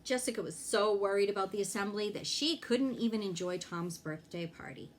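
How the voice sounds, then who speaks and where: A woman reading a children's story aloud in an expressive way, pausing to check in with young listeners. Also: medium pitch, slight background noise. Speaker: fire inspector reading a children's book aloud.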